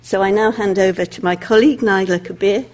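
A woman opening a public lecture on behalf of a charitable trust, speaking earnestly with some halting fillers. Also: medium pitch, fairly even intonation, darkish tone. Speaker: trust representative opening the event. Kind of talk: formal opening remarks.

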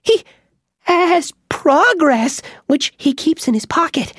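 A tiny, jittery field mouse with a very high, squeaky voice that stammers and jumps around. It sounds like a storybook reading, dry and close. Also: male voice, sing-song, slow pace, fairly bright tone, no room sound, exaggerated voice. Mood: frightened. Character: timid little field mouse, easily terrified.

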